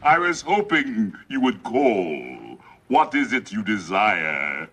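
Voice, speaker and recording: An actor playing a gleeful, menacing genie of the lamp in a theatrical fairy-tale production, speaking with mocking relish. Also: male voice, fairly low pitch, big pitch swings, slow pace, fairly bright tone, slight background noise, exaggerated voice. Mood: imperious.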